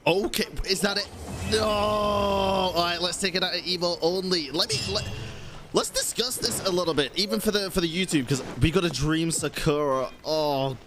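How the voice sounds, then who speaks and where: A man reacting casually on a live game stream, exclamatory and quick to swear. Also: high voice, noisy background. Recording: game music playing behind. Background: video game music and dialogue.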